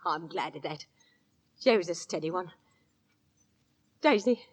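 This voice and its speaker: A woman's voice: lowish voice, lively intonation, slow pace. An actress playing an anxious, stern middle-aged Victorian London landlady and mother, nervous and reproving, in 1940s radio drama style. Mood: uneasy, stern.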